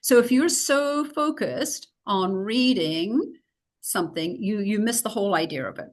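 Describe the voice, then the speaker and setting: A woman's voice: mid-range voice, unhurried. New Zealand woman giving a teaching lecture. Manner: explanatory, practical, with frequent fillers. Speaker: teacher trainer. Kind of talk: recorded lecture with slides.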